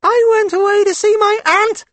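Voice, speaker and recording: A man voicing a tiny, polite pet ant with a squeaky, high-pitched voice in a story. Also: fairly even intonation, moderate pace, a bit bright, no room sound. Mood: meek, chirpy.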